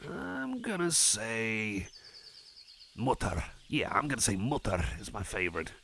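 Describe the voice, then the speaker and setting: Man playing a deep-voiced, shy cyborg pal. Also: sing-song, unhurried, gravelly, sharp tone, a little hiss or hum, exaggerated voice. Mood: casual, rambling. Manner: hesitant, rambling, stammering, easily sidetracked. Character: shy, rambling, friendly male cyborg chum. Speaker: ASMR roleplayer. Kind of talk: personal attention ASMR roleplay.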